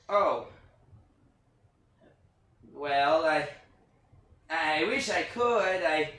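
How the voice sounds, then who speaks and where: A man voicing a cuddly, childlike puppet character in a play reading, tender and earnest with a halting, stammering delivery. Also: on the high side, bright, forceful tone, full character voice. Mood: touched, hesitant. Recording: roomy, distant microphone.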